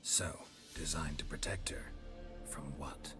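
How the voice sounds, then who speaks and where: Game role: laconic hunter for hire, rock-bottom pitch, gruff, sardonic and unimpressed, scored with music. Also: male voice, measured pace. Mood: probing. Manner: deadpan, terse, sardonic. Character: gruff, laconic monster hunter, adult man, dry and impatient. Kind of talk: understated fantasy game acting. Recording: music behind the voice.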